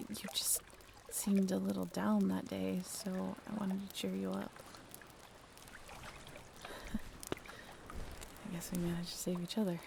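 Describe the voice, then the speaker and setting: A woman playing a shy, earnest half-mermaid in an intimate audio roleplay, hesitant and soft-spoken, often slipping into breathy whispers. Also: medium pitch, noisy background. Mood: wistful, tender.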